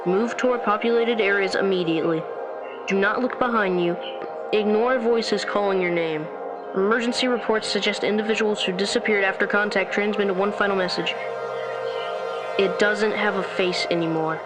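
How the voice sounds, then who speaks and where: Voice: medium pitch, normal speed, over music, rough recording. A synthetic-sounding announcer narrating a scripted horror emergency bulletin in a formal, detached, clinical manner.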